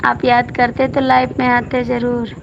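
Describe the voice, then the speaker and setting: A hoarse woman hosts a casual live stream, reading out viewer comments and coaxing people by name to comment. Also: on the high side, monotone, unhurried, dull audio, background noise. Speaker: folk singer hosting a live stream.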